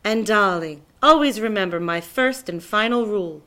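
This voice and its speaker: A woman voicing a grand, eccentric great-aunt in a children's storybook, affectionate but secretive, with a theatrical, drawn-out, imperious delivery. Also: medium pitch, lively intonation, a bit bright, close to an everyday voice. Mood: grandly instructing. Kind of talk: children's storybook read-aloud.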